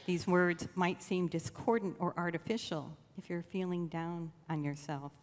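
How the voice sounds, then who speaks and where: A woman leading a guided meditation in a slow, gentle, soothing way with long pauses. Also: on the low side, fairly even intonation. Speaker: church meditation leader. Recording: long reverb of a large room.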